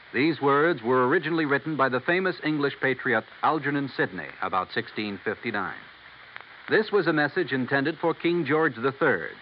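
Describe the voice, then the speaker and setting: A man with an American accent reading scripted narration as a formal, solemn 1950s radio announcer. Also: medium pitch. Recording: muffled, faintly hissy vintage radio recording.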